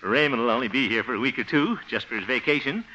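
An actor playing a good-natured, flustered middle-aged bachelor in a 1950 radio comedy, chuckling, stammering and muttering asides. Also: male voice, medium pitch, fast, sharp tone, slight background noise, close to an everyday voice. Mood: genial. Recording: muffled old radio recording.

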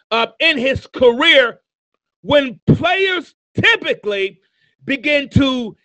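Man, 50s, motivational preacher; high bright voice, dry close sound, emphatic and repetitive. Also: no room sound, low-quality recording. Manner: emphatic, repetitive, building to shouts. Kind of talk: sermon-style motivational speaking.

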